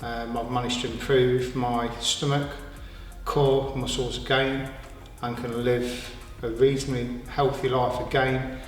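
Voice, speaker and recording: Man, 50s, testimonial; flat, even delivery, distant mic in a reverberant hall. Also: mid-range voice, unhurried, faint music behind. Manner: plain, matter-of-fact, with many "uh" fillers. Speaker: client giving a testimonial. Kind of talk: interview testimonial. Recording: echoey hall, from far off.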